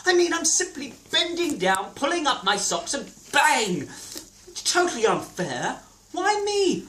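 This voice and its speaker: A woman reading plays aloud as an amateur actor, slow and dramatic. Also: sits high, very animated, a bit bright, slight background noise, heavily acted. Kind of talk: play reading, theatrical. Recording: roomy theatre from far off.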